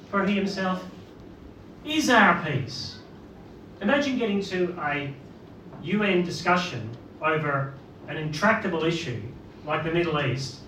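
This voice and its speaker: Northern Irish man, preacher, sermon, rhetorical questions, distant, noisy. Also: a little high. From Northern Ireland. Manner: animated, rhetorical questions to the congregation. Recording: roomy, distant mic with background noise.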